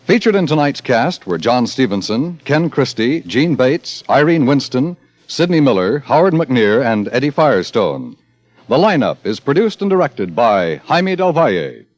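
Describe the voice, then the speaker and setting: A man's voice: medium pitch, very animated, normal speed, dry recording. A man announcing formally and dramatically in scripted 1950s radio style. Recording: muffled 1950 radio broadcast, music. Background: music.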